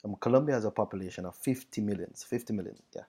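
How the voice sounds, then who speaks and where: This is a Jamaican man with a fairly low, dark and relaxed voice. He talks casually, like a teacher sharing what life abroad has been like. He leans on his points with emphasis, often saying a phrase again to drive it home. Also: rapid-fire, slightly rough. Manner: emphatic, repeats phrases for stress. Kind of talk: casual spoken reflection.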